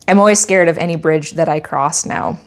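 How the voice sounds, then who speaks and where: Low-voiced Canadian woman chatting on a livestream. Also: a little fast. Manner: chatty, explanatory, teacherly; rambling with frequent restarts. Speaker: goat farmer and channel host. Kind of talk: casual livestream chat and Q&A.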